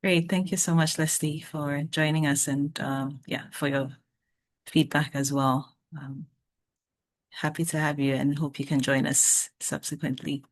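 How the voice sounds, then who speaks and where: A woman moderating an online meetup, brisk and cordial as she hands over to speakers. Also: low-pitched, steady tone, no room sound. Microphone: video call.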